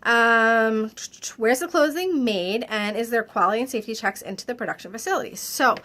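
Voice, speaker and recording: A woman with a stuffy, slightly nasal voice, reading out viewer questions and answering them in a chatty video, often pausing with "um". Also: a little high, pushed and bright. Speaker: direct-sales clothing consultant. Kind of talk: casual Q&A vlog.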